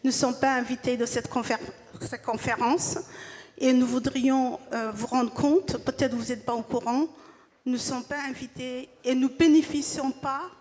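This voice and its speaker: A woman's voice: sits high, steady tone, moderate pace, darkish tone. A woman from northern Somalia asking a question at a press conference, earnest and hesitant. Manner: earnest, hesitant with many fillers. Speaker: audience questioner. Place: large conference hall. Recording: long hall reverb.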